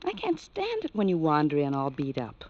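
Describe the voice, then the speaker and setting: Pouty, lively radio sweetheart, low-pitched woman. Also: normal speed, full character voice. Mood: fond scolding. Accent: American. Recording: muffled 1940s radio recording with music.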